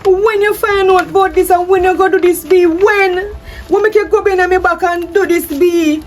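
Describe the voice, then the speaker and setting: A woman playing a high-voiced anxious wife. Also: expressive, normal speed, warm tone, natural voice. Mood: pleading. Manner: repeats questions insistently. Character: defensive, anxious wife who pleads. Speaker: wife in a domestic drama skit. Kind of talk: improvised-sounding skit acting.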